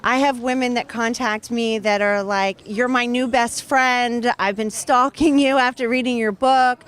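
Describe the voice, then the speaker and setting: Earnest fairly high-voiced woman author. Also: steady tone, unhurried, a bit bright, clear, resonant tone. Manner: earnest, heartfelt and fluent. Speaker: author and guest. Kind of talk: interview at an outdoor event. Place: outdoor charity race booth area.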